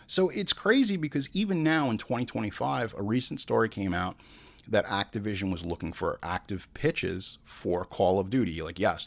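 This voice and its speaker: A man's voice: fairly low pitch, creaky. A man hosting a show with casual, opinionated film commentary, swearing freely and acting out imagined bits of dialogue. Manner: informal, frequent swearing. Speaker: show host.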